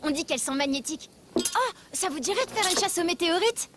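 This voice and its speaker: A woman's voice: very high voice, animated, quick pace, background noise. A woman voicing an eager, knowledgeable young child who explains things enthusiastically in an educational French children's cartoon.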